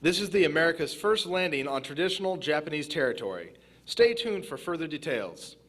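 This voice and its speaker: Serious vintage radio bulletin reader, acted by a man, fairly high voice, formal and clipped. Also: sing-song, a little fast, a bit bright. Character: grave 1940s wartime radio newsreader. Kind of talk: 1940s news bulletin.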